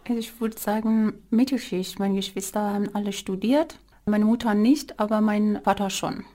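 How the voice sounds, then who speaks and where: A woman's voice: mid-range voice, fairly even intonation, normal speed, warm tone. A woman from Iran with an Iranian accent, explaining things as a guest in an interview.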